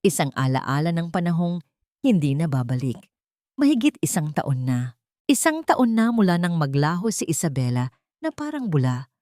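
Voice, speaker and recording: Woman doing dramatic story narration, kinda low and soft-toned, super dry recording. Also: no echo.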